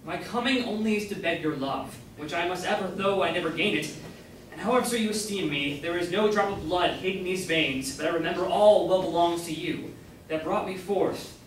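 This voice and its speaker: A man's voice: high voice, moderate pace, lightly acted. A young man acting an earnest, dutiful, rejected son, reciting formal lines in a staged reading of a Jacobean comedy. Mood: earnest pleading. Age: young adult. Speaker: student actor. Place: indoor room, far from the mic. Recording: very echoey room, distant, faint noise.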